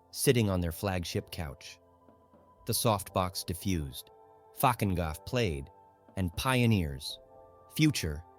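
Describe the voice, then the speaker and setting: A man narrating a literary audiobook in a scripted, dramatic storytelling style. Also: deep voice.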